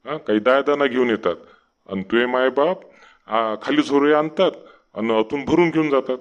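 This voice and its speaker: Casting: man as exasperated cartoon husband, rural Vidarbha Marathi, mid-pitched, sarcastic grumbler, lo-fi recording. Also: normal speed, lightly acted. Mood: grumbling, exasperated. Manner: complaining, sarcastic. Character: grumbling, henpecked village husband in a comedy cartoon. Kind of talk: cartoon comedy voice acting.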